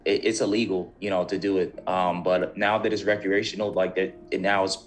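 Low-pitched young American man chatting casually. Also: little pitch movement, a little fast, soft background music. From United States, New Jersey. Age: young adult. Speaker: podcast guest, university student. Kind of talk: casual conversational interview.

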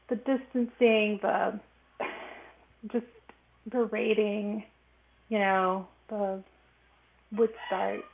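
Gravelly woman on a tinny line. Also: fairly high pitch. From Los Angeles, California. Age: 40s. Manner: hesitant, many fillers and restarts. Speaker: guest. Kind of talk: personal story in a podcast chat.

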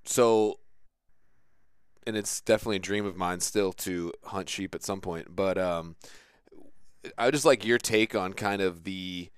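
A man's voice: deep voice, unhurried, long pauses, clean tone, no room sound, studio quality. A man in his thirties hosting a podcast, asking long, rambling questions full of fillers. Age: 30s. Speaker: podcast host. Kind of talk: casual podcast interview.

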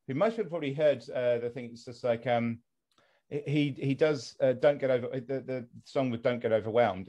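A man from England on a podcast, criticising institutions in an animated, restarting way. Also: medium pitch. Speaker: podcast co-host. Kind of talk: casual podcast discussion.

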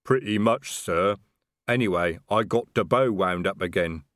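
A stolid troll sergeant, voiced by a man, speaking a little lower than a normal man with a flat, deadpan delivery. The sound is dry and close. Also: a little slow, dry recording.

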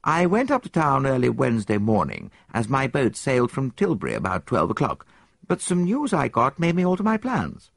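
A man's voice: medium pitch, huge pitch swings, normal speed, creaky, no room sound, studio quality, pitched above the performer's own voice, full character voice. A man voicing an earnest young male army captain, speaking in a clipped, eager and slightly nervous way.

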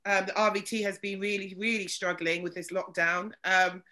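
A woman's voice: mid-range voice, fairly even intonation, normal speed, sharp tone. A woman hosting a casual video-call chat, talking loosely with lots of fillers. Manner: chatty, loose with many fillers. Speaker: host.

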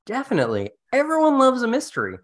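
A man's voice: extremely high pitch, huge pitch swings, fast. A man speaking as a podcast co-host in an informal, conversational discussion, explaining things with casual asides.

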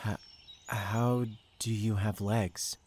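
An actor playing a grieving, shaken young man in an audio drama, hurt and guarded, stammering haltingly through his words. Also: on the low side, steady tone, a little mellow, a little hiss or hum. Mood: subdued, wary.

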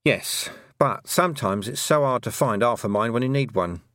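A man voicing a shrewd, brisk witch woman who teaches, with a dry, knowing manner, in an audiobook. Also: medium pitch, fairly bright tone, dry recording, voice pushed up, close to an everyday voice. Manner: dry, knowing, clipped questions. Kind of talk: audiobook character dialogue.

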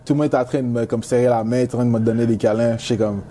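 A man's voice: medium pitch, fairly even intonation, racing, dark tone. A young man from Montreal, a native English speaker with a Quebec accent, chatting casually in an interview and peppering his French with English slang. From Montreal, Quebec, Canada. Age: young adult. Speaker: university football player, interview guest.

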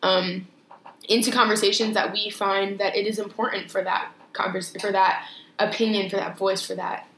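A woman's voice: mid-range voice, level tone, fairly bright tone. Young woman musing in an echoey room. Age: young adult. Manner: thoughtful, measured, pauses to rephrase. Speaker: podcast co-host. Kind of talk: casual conversational podcast. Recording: echoey room with faint noise.

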